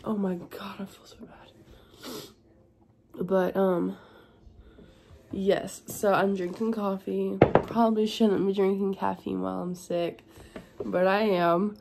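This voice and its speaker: A young woman, hoarse and congested from being sick, chatting tiredly in a home vlog. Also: darkish tone, a little hiss or hum. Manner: tired, low-energy, chatty. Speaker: vlogger. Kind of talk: casual vlog chat. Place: at home indoors.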